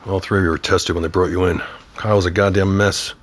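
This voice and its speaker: A middle-aged man playing a gruff, wry father with authority, questioning pointedly in an audio drama. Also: low voice, flat delivery, quick pace. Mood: probing, gruff. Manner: wry, probing. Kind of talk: naturalistic audio drama acting.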